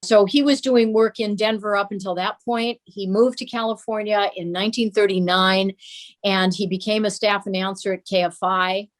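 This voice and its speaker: An older woman reminiscing conversationally as an interview guest, telling anecdotes in a measured way. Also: medium pitch, fairly even intonation. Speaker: interview guest recalling a parent's radio career.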